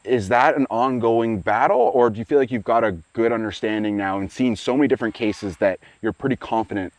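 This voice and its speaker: A man's voice: mid-range voice, a little fast, dry recording. A man hosting an interview, asking long, rambling questions full of "like" and "you know". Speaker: podcast host. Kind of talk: casual interview.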